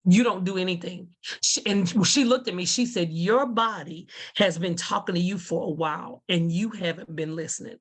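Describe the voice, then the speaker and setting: Deep-voiced woman telling a lively story. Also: moderate pace, slightly rough. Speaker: former kindergarten teacher and speaker. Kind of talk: conference storytelling on a video call.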